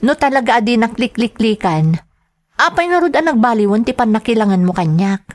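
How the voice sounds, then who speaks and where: Actress as young single mother, Ilocano accent, fiery and emphatic, very animated, radio drama. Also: a little high, fairly quick, no echo, clean studio sound, natural voice. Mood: indignant, accusing. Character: defiant, hot-tempered young single mother. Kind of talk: Filipino radio drama acting.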